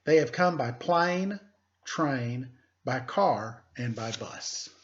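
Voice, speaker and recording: A man speaking as an elementary teacher, reading aloud to pupils with deliberate emphasis and informal asides. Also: mid-range voice, expressive, highs cut off. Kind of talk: read-aloud lesson with casual asides.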